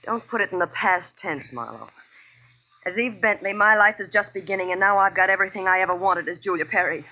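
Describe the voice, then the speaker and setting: A woman's voice: medium pitch, brisk, close to an everyday voice. An actress playing a cold, self-possessed female killer, a scheming femme fatale in a 1940s radio noir drama. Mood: coolly menacing. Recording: muffled old-time radio recording.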